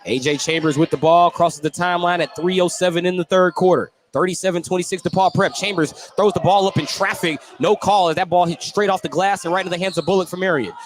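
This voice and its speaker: Male radio basketball announcer, high-pitched, descriptive play-by-play, clear gym noise in the background. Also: steady tone, fast talker, few pauses. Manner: detailed, descriptive calling, shouting on big plays. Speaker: play-by-play radio sports commentator. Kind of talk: live basketball play-by-play commentary. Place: indoor basketball gym, courtside broadcast. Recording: loud gym noise behind commentary. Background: loud ambient game noise.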